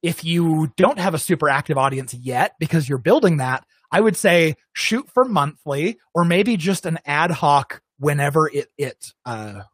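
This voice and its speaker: A man from Arizona, a guest in an interview, giving relaxed, conversational advice and acting out what viewers might think. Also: fairly high pitch, measured pace, few pauses, slightly rough, dry recording. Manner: relaxed, conversational, acts out imagined viewers' thoughts.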